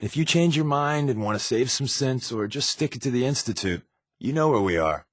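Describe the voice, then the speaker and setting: An actor playing a wisecracking, sardonic spy companion in a video game, with casual scripted dialogue. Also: male voice, medium pitch, animated, brisk, no room sound, clean studio sound, close to an everyday voice. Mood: breezy, teasing. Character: wry, joking male spy companion with dry swagger.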